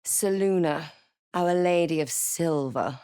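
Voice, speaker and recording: Casting: a wry, watchful female adventurer for a fantasy game, measured dramatic delivery, dry studio sound. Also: on the low side, warm tone, clean tone, no echo. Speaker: player character voice in a fantasy role-playing game. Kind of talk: scripted game voice lines and narration.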